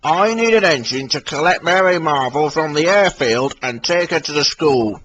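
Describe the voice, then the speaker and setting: A man's voice: fairly high pitch, animated, moderate pace, a bit bright, dull audio. A man voicing a kindly, stout male railway boss in a storybook, speaking in a firm, fatherly way.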